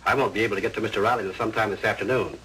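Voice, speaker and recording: A man's voice: fairly low pitch, fairly even intonation, racing, bright, forceful tone, a little hiss or hum, low-quality recording. A man playing a genial, busy hospital surgeon in a situation comedy, with a professional manner. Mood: businesslike.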